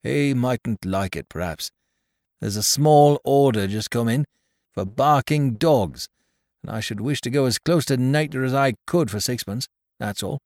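A man's voice: mid-range voice, a little slow, a little mellow, no room sound, clean studio sound, pitched above the performer's own voice, lightly acted. A man voicing a fussy, anxious little old toymaker in a read-aloud story. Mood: anxious eager. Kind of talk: storybook character voice.

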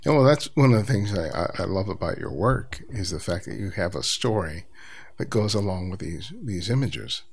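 Male podcast host, low, gravelly, thoughtful, stammers into questions. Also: lively intonation, normal speed. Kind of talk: interview questions.

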